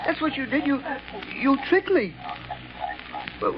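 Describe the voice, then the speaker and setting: A man's voice: very high voice, big pitch swings, measured pace, noisy background, full character voice. An actor playing a jittery male bomber who hates crowds, stammering and giggling in old-time radio drama style. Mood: panicked, whimpering. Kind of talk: 1930s radio drama acting. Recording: dull old radio recording.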